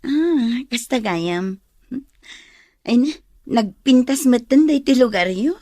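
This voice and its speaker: A woman's voice: a little high, expressive, slow, warm tone, no echo. A woman with an Ilocano accent acting as a nosy friend turned sleuth in a radio drama. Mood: friendly, pretending.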